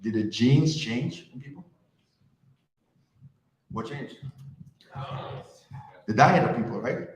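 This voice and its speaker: A man's voice: mid-range voice, animated, slow, long pauses. A man from France giving a conversational talk to a live audience, often asking the crowd questions. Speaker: conference speaker. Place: event hall. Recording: roomy hall with faint ambience.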